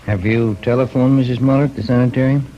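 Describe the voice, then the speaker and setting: An actor playing a polite, plain-spoken American police detective with a courteous, low-key manner in a 1950s radio drama. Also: male voice, fairly even intonation, fast talker, lightly acted. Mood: businesslike. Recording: muffled, faintly noisy 1950s radio recording.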